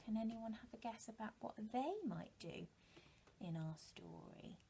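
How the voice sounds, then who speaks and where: A woman's voice: a little high, a little slow, vocal fry, slightly rough. A British woman in her thirties, a primary teacher talking and reading expressively to young children, often putting questions to them. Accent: British English. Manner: expressive, asks listeners rhetorical questions. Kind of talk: animated talk and storybook reading to young children.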